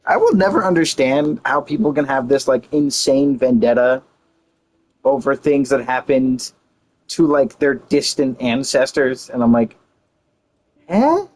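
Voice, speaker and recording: A man giving casual, informal play-through commentary as a game streamer, animated and incredulous. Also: mid-range voice, measured pace, low-quality recording.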